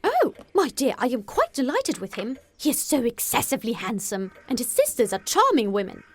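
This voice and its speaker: An excitable middle-aged mother as a woman narrator acts her out in a storybook reading. She gushes and exclaims in a high, loud, swooping voice, full of gossip and breathless emphasis. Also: brisk, a little hiss or hum. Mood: gushing, thrilled. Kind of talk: audiobook character voice.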